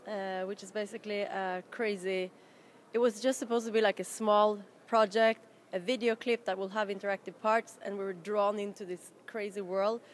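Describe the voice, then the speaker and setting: A woman's voice: mid-range voice, fairly even intonation, moderate pace. A woman from Norway, a band member, chatting loosely in an interview and laughing often. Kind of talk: casual interview chat. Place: busy festival venue. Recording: background noise.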